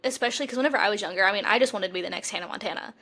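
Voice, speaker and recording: A woman's voice: mid-range voice, racing, sharp tone. A young woman co-hosting a podcast, talking in a casual, conversational style. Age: young adult. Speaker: podcast co-host. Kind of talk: casual chat.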